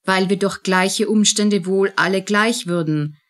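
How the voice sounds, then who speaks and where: A woman reading scripted narration in a measured, composed manner. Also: mid-range voice, fairly bright tone.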